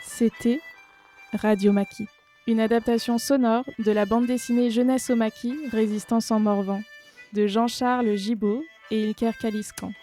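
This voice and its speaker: A woman announces scripted credits. Her voice is fairly high and mellow. Light music plays faintly behind her. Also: fairly even intonation, normal speed.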